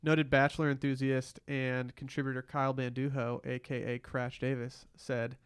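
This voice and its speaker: A man's voice: mid-range voice, level tone, moderate pace, clear, resonant tone, dry recording, studio quality. A man co-hosting a podcast, chatting informally and bluntly in a slangy recap of a reality show. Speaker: podcast co-host. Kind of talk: casual reality-TV recap chat.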